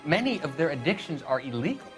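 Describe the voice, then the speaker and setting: A man's voice: fairly high pitch, very animated, fast talker. An actor playing an earnest, polite young medical student who questions his superiors, in a dramatic video game cutscene. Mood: troubled, deferential. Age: young adult. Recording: music behind the voice.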